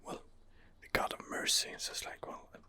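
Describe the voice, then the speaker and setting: A man quietly narrating his role-play game in a breathy ASMR whisper, musing and rambling hesitantly, close to the microphone. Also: a little fast. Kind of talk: ASMR gaming commentary.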